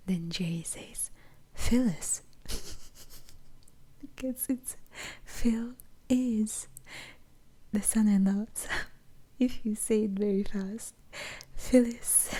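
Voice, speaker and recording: Giggly whispering woman, slow and soft. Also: mid-range voice, slightly rough. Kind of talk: whispered ASMR chat.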